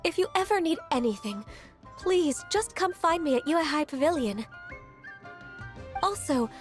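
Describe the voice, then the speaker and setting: A woman voicing a polite, soft-spoken young half-divine disciple in a video game. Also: high voice, expressive, a little slow, faint music behind.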